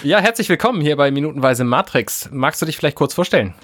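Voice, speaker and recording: A man's voice: a little high, expressive, quick pace, a bit bright, no echo, clean studio sound. A man hosting a podcast, opening the show and welcoming guests with friendly patter. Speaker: podcast host. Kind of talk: casual podcast chat.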